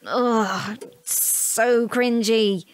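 A woman's voice: a little high, steady tone, drawn-out words, over music. A woman playing an affectionate, down-to-earth wife in a radio drama, with casual, teasing, natural speech. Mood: teasing, excited.

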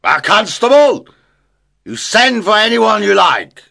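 A woman voicing a drunk, angry man who shouts indignantly in a storybook reading. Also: fairly low pitch, wildly swooping pitch, slow, exaggerated voice.

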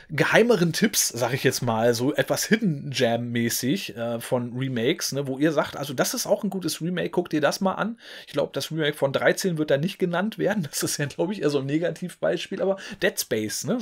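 A man, hosting a casual gaming video from his room, rambling with many fillers and tag questions. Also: on the high side. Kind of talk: casual talk to camera. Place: home room with game shelves, indoors. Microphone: desk mic in front.

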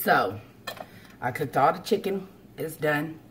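A woman's voice: low voice, lively intonation, takes it slowly. A woman, casually talking through cooking steps for a home vlog, recorded on a camera in her kitchen. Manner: informal, step-by-step explaining. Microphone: camera on counter or at arm's length. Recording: noisy kitchen with frying and clatter.

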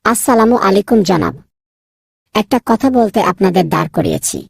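Woman as young male cartoon scholar, husky, crackly, naive, proud, quick to grumble. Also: mid-range voice, animated, no room sound, studio quality, heavily acted. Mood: exasperated. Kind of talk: cartoon dubbing.

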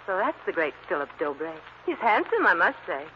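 An inquisitive radio mystery heroine. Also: female voice, fairly low pitch, sing-song, fairly quick. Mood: curious. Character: curious, inquisitive woman companion of a sleuth. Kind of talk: old-time radio drama acting. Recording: dull, noisy old radio recording.

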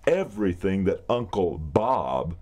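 An actor playing a stern, exasperated middle-aged radio program director in an audio drama, clipped and authoritative. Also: male voice, sits low, big pitch swings, a little slow, a little mellow, heavily acted.

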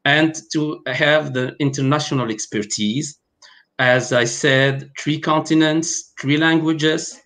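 Halting man. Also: a little high, steady tone, a bit bright. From Algeria. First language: Arabic and French. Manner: halting, many fillers and restarts. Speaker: guest pharmacovigilance specialist. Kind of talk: online interview. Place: home room, video call.

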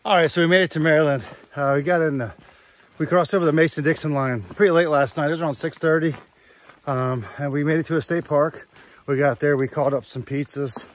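A man's voice: on the high side, steady tone, a little hiss or hum. A man in his thirties vlogging his thru-hike in a casual, upbeat, easygoing way, filming himself with a phone at arm's length on a misty forest trail. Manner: upbeat, easygoing, drawn-out fillers. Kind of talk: casual trail vlog to camera. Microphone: phone held at arm's length.

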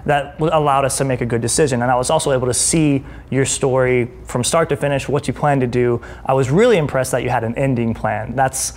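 A man's voice: medium pitch, fast, few pauses, a little mellow. A man in his thirties chatting casually as the interviewer in a conversation. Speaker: editor, interviewer. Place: indoor brick-walled studio room.

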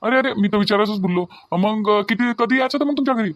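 A mild village family man from a cartoon comedy, voiced by a man. His voice is high and his delivery quick, with a Varhadi Marathi accent. He is deferential and placating, often hesitating and repeating himself. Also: fairly bright tone. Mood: polite, flustered. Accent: Varhadi (Vidarbha) Marathi.

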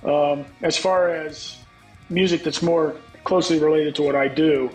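A middle-aged songwriter from the United States with a scratchy voice, speaking frankly as a guest on a video call. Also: male voice, on the high side, fairly even intonation, measured pace, faint music behind. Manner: frank, reflective, swears casually. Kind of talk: candid interview talk. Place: home room, on a video call. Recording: echoey video call audio.

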